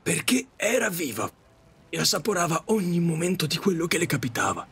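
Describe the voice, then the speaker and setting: A man's voice: on the high side, very animated, a bit bright. Man acting grieving, regretful widowed taxi driver. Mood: grieving, remorseful. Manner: halting, confessional, with repetitions. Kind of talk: Italian audio drama monologue.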